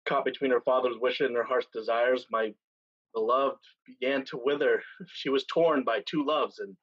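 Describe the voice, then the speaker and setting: A man plays a seasoned pirate captain with a husky voice, heard on a slightly muffled recording. He speaks solemnly and deliberately, leaving weighty pauses as in a stage drama, weary of command yet tender. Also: mid-range voice, fairly even intonation, fairly bright tone. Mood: rueful storytelling. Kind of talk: staged reading of a stage play.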